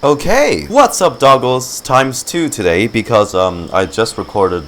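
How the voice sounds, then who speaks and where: A man's voice: medium pitch, expressive, measured pace, a bit bright. A man doing casual, slangy, joking reaction commentary as a game streamer.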